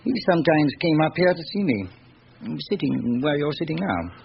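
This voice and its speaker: An actor playing a genial, worldly seventy-seven-year-old lawyer in a classic radio drama, musing and reminiscing urbanely with thoughtful hums. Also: male voice, a little high, sing-song, creaky, husky, exaggerated voice. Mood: musing, wry. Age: older. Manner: musing, discursive, urbane, with reflective hums and pauses. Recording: dull, hissy old radio recording.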